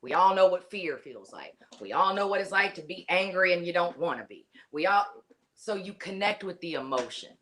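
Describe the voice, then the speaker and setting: A woman's voice: on the low side, expressive, moderate pace, fairly bright tone, a little hiss or hum. A woman therapist explaining in an earnest, casual way, with many "you know"s. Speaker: therapist guest.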